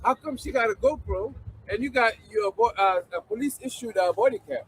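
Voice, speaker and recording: A man's voice: extremely high pitch, fairly quick, a bit bright, distant mic, a little hiss or hum, rough recording. A man stopped by agents argues back indignantly in a confrontation filmed outdoors. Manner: indignant, argumentative.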